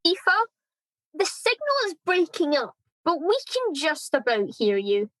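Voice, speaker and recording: A woman's voice: high voice, big pitch swings, measured pace, heavy background noise. A woman playing a chirpy radio show presenter in a comedy, speaking in mock broadcast style. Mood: bright, professional.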